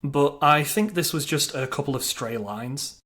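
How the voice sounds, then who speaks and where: A man's voice: a little high, measured pace, a bit bright, slight background noise. A man delivering scripted narration for a video game review, with a wry, dryly humorous manner.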